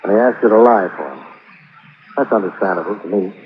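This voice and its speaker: Casting: man acting shrewd, no-nonsense detective type, lowish pitch, vintage radio crime serial, narrow hissy sound. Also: brisk, natural voice. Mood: firm, persuasive. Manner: firm, plainspoken, hardboiled. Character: tough, plainspoken, shrewd male insurance investigator. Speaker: lead investigator and narrator. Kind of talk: 1950s hardboiled radio drama acting. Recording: noisy old radio recording, narrow band.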